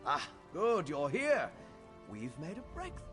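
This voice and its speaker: A man's voice: fairly high pitch, huge pitch swings, slow pace, fairly bright tone, soft background music, full character voice. An actor playing an earnest, scholarly male alchemist mage in a video game, explaining things in a learned, formal way. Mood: eager, hopeful.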